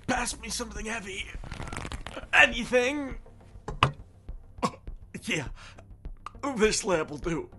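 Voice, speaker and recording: A man's voice: high-pitched, exaggerated voice. Man acting an awkward, kind neighbour guy. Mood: alarmed. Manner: hesitant, informal. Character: awkward, kind, concerned young male neighbour. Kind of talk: naturalistic audio roleplay acting. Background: music.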